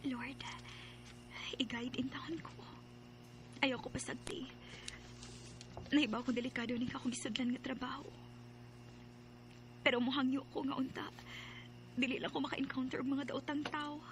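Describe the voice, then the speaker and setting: High-pitched young Cebuano bar girl, played by an actress. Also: level tone, normal speed, long pauses, noisy background, lightly acted. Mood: pleading, prayerful. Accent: Filipino Cebuano. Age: young adult. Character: young first-time bar worker, dutiful, burdened daughter. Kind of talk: dramatized radio-style storytelling with narration. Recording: background music under voice.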